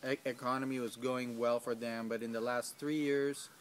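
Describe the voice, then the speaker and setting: A man's voice: medium pitch, level tone, normal speed. A man in his thirties answering interview questions outdoors in a garden, hesitant, with many ums and restarts. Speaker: field staff.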